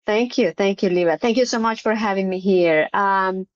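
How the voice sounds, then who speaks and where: A middle-aged woman with a Colombian accent answering interview questions, pausing often with fillers and restarts. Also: mid-range voice, lo-fi sound. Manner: hesitant, frequent "uh" fillers and restarts. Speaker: surgeon, interview guest.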